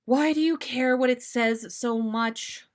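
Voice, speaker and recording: A woman's voice: a little high, slow. A woman voicing an eager, mischievous grown man who wheedles and plays innocent in a story. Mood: whining, pleading.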